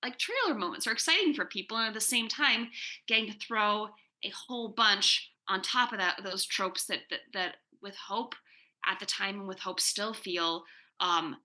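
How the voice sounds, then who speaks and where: A woman's voice: mid-range voice, normal speed, bright, forceful tone. A woman chatting casually as an interview guest, enthusiastic and rambling, peppering her talk with "like". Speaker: comics writer and TV writer-producer, interview guest. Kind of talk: casual Q&A chat.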